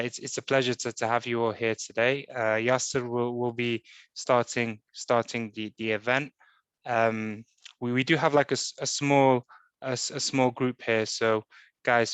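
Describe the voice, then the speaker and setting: Man hosting a webinar, smooth and flat. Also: mid-range voice, no echo. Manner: hesitant, many fillers and repeats. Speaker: event chair and host. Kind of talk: introducing speakers at a webinar. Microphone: video call.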